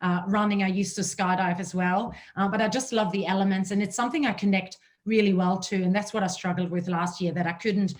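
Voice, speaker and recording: A woman's voice: mid-range voice, fairly even intonation, brisk, few pauses. A woman in her forties from Germany with a German accent, presenting a webinar as a leadership coach, enthusiastic and fluent.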